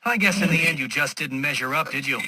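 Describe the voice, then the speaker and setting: A sharp, smug genius villain. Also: male voice, fairly high pitch, fast, dry recording. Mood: mocking, sarcastic. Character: smug, condescending male genius villain, taunting and superior. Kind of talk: video game villain voice acting.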